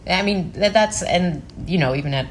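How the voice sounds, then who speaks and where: Low-pitched woman hosting a casual podcast. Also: moderate pace, a little hiss or hum. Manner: informal, chatty. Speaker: podcast host. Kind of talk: casual conversational interview.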